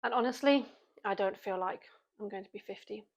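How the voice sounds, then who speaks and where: A woman's voice: mid-range voice, brisk, gravelly. Chatty British woman in her forties. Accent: British English. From Britain. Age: 40s. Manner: chatty, self-mocking, wry asides. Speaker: vlogger. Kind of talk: casual chatty monologue to camera.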